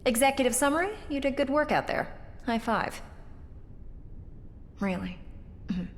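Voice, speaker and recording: Breezy game handler lady, high swoopy voice, echoey hall w faint rumble. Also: lively intonation, measured pace. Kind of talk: scripted video game dialogue.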